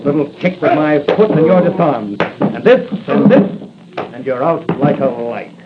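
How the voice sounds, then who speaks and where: An actor playing a cool, brisk masked crime-fighting hero in 1940s radio style. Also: male voice, fairly high pitch, expressive. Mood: fierce, triumphant. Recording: muffled noisy 1940 radio recording.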